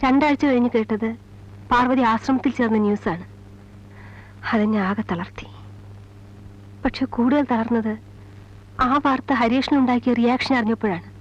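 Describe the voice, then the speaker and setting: Actress as high-voiced sorrowful mother confessing. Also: normal speed, long pauses, close to an everyday voice. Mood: sorrowful recollection. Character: sorrowful mother, former college teacher, confessing her past. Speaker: film actress. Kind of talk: Malayalam film drama, slow confessional narration. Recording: dull old film soundtrack, music behind. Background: film score music.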